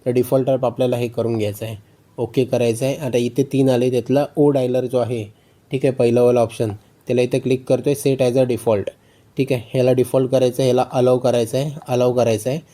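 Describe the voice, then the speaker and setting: A man's voice: mid-range voice, level tone, a little fast, dark tone. A man narrating a phone tutorial step by step, often repeating instructions and check phrases. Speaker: tutorial host.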